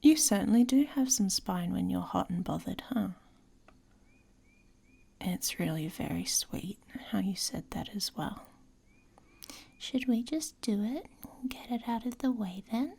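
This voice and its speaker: Woman playing a husky, whispery, taunting she-wolf. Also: medium pitch, lively intonation, a little slow, pauses often, warm tone, full character voice. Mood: teasing, seductive. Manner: teasing, sardonic, often dropping into whispers. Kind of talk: intimate ASMR roleplay.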